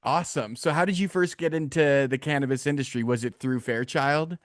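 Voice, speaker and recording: A man's voice: medium pitch, fairly quick, dry recording, studio quality. A man hosting a podcast, chatting casually and informally with joking, slangy talk. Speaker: podcast host. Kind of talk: casual interview chat.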